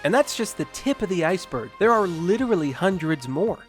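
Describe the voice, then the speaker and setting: A man's voice: sits high, big pitch swings, brisk, soft background music. A man hosting a video game channel, narrating a comedic scripted movie review with sarcastic, self-deprecating jokes.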